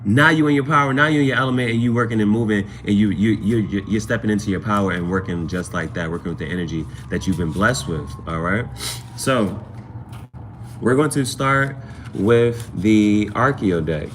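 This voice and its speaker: A man's voice: fairly low pitch, normal speed, slight background noise. A man hosting a casual tarot livestream, chatting informally to viewers and rambling, often repeating phrases for emphasis. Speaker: livestream host and amateur tarot reader.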